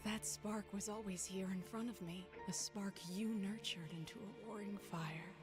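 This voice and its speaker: A rueful sorceress with a soft, theatrical delivery, as a woman acts her out. The line lands with overdone drama, and music plays steadily under the voice. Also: medium pitch, steady tone, normal speed, close to an everyday voice. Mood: rueful tender. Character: scheming sorceress turned tender, adult woman. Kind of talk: comedic fan-dub acting. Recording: fan dub played over background music.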